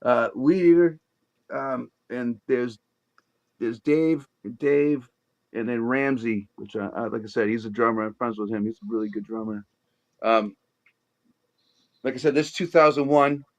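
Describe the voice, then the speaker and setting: A man's voice: medium pitch, a little slow, pauses often, muffled recording. A middle-aged man from the Boston area with a Boston accent, a guest in a casual chat who rambles with many restarts and fillers. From Boston area, Massachusetts.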